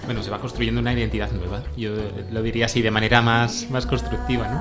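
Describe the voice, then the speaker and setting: A man hosting a radio chat, measured and reflective as he moderates. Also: lowish voice, little pitch movement, music in the background. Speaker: radio host.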